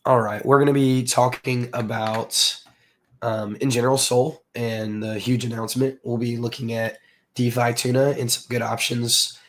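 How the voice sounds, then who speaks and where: A hoarse man from the southern United States, hosting a casual livestream and chatting with viewers. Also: medium pitch, flat delivery, normal speed, a little hiss or hum. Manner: rambling, filler-heavy, greets chat viewers. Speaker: crypto livestream host.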